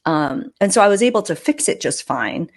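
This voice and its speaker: A woman's voice: mid-range voice, big pitch swings, normal speed. A woman hosting a podcast, telling personal stories casually with emphatic delivery and joking asides. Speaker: podcast host. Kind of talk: casual podcast chat, anecdotal storytelling.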